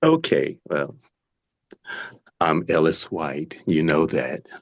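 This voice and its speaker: A man acts a sincere, easygoing date in a drama scene. He speaks slowly in a low voice, close and dry, with hesitant pauses and a wistful air. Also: big pitch swings, no room sound, exaggerated voice. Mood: relaxed, wistful. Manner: hesitant, unhurried. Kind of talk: dramatic table read.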